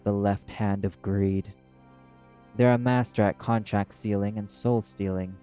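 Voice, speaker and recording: A proud, prickly demon played by a man in an audio roleplay. The voice is fairly low and very mellow, yet the delivery is curt, scornful and commanding, with faint music underneath. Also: fairly even intonation, a little slow, smooth, lightly acted. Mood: stern, threatening. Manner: terse, scornful, commanding, swearing.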